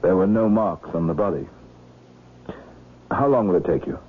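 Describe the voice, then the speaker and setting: Calm investigating magistrate, lowish voice, clipped questions, dry and understated, vintage radio mystery, tinny narrow-band sound. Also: male voice, normal speed, husky. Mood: probing, calm. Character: measured, dryly probing male island magistrate investigating a death. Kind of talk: 1970s radio mystery acting. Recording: muffled phone-like old radio, faint noise.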